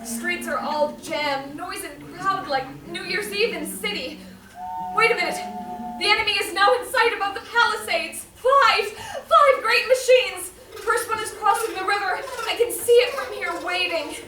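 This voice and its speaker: A woman's voice: extremely high pitch, moderate pace, background noise. A woman playing a horrified radio reporter in a 1930s-style radio drama, giving clipped, declamatory eyewitness bulletins on a stage. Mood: alarmed. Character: doomed radio reporter watching the invasion, increasingly horrified. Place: live theatre stage. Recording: echoey stage, distant microphone.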